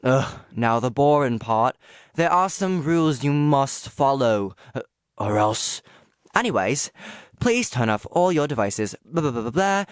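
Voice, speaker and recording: A man's voice: mid-range voice, animated, a little slow, clear, resonant tone, dry recording, studio quality, close to an everyday voice. An actor playing a cheeky, rambling male theater announcer with a Cockney accent, full of asides in a comic audio drama. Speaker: pre-show announcer.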